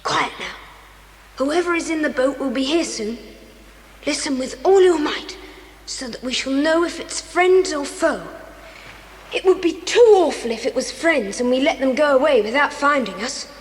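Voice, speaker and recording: A woman playing a plucky, practical young boy in a children's audio adventure, brisk and exclamatory as the figure takes charge. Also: high voice, close to an everyday voice. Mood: urgent, commanding. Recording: noisy, reverberant old cassette dramatisation.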